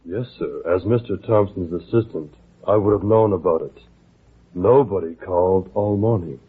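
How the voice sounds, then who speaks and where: A courteous, subdued funeral parlor assistant, with a low, breathy-rough voice. He sounds deferential on a muffled old radio drama recording. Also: moderate pace. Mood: courteous, puzzled. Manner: deferential, formal. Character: polite, subdued male undertaker's assistant. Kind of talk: classic radio detective drama acting.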